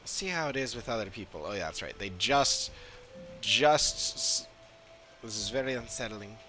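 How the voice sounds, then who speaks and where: A man's voice: fairly low pitch, lively intonation, normal speed, pushed and bright, faint music behind. A man doing casual livestream commentary as he plays a game, musing and rambling as he thinks aloud. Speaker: game streamer.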